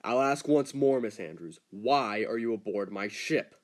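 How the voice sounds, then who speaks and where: A man's voice: lowish voice, lively intonation, a little slow. An actor playing a wary male ship captain in an amateur audio drama, firm and questioning. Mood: stern. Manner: firm, interrogating.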